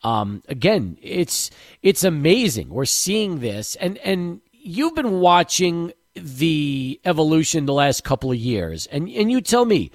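A man's voice: a little high, big pitch swings, slow, dry recording, clean studio sound. A man hosting a sports talk radio show, eagerly setting up long questions for his guest.

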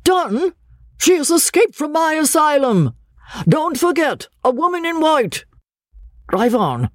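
A woman's voice: sits high, very animated, takes it slowly, dry recording, clean studio sound, pitched above the performer's own voice, full character voice. A woman voicing a commanding man who keeps an asylum, calling out urgent orders in an audiobook reading.

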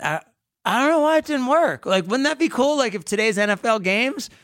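A man's voice: very high-pitched, expressive, fairly quick, fairly bright tone, no echo, studio quality. A man hosting a sports radio show, chatting casually and often tagging thoughts with "like" and "right?". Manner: conversational, frequent "like" and "right?" fillers.

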